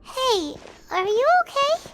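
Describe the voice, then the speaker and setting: A woman voicing a tiny, childlike, excitable fairy companion in an anime-style video game, squeaky and expressive. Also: takes it slowly, very noisy, heavily acted. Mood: concerned. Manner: squeaky, expressive, speaks of self in third person.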